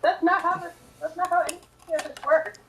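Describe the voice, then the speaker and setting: Woman chatting casually, very creaky voice. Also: very high voice, steady tone, brisk, fairly bright tone, a little hiss or hum. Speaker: guest commenting along. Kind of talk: casual chat.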